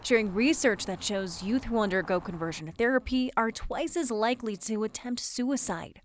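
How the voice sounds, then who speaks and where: A woman's voice: a little high, moderate pace. An American woman reporter narrating a scripted news package.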